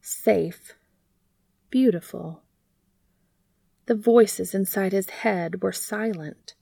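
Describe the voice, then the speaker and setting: A woman reading a story aloud in gentle, unhurried scripted narration. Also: mid-range voice, vocal fry, breathy edge, no echo.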